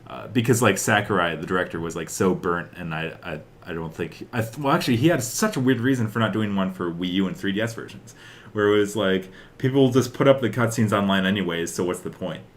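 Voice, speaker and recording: Male podcast co-host, low register, loose conversational delivery that wanders as he thinks. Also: fast talker. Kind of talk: casual chat about games and films.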